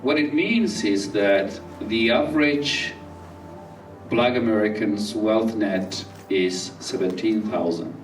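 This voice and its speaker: A man from Somalia giving a public talk in a slow, halting way, with many fillers and restarts. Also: fairly low pitch, far from the mic. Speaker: speaker at a community talk. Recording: roomy, off-mic, faint music behind.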